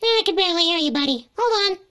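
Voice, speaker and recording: An actor voicing a cocky, dim teenage cartoon boyfriend in an animated game cutscene, brash and slangy. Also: very high-pitched, fast, heavily acted. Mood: playful.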